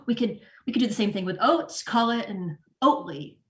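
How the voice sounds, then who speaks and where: A woman's voice: medium pitch, animated, normal speed, close to an everyday voice. Actress as scattered forties woman manager, sitcom table read. Casual, defensive, roomy sound. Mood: sheepish, hopeful. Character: flustered, disorganized woman store manager in her forties. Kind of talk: live table read of comedy script. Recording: roomy, echoey home recording.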